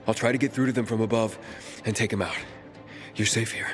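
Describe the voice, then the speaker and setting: A man's voice: on the low side, steady tone, brisk, lightly acted. An actor playing a tough, protective young male survivor in a video game cutscene, terse and urgent. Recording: loud music behind.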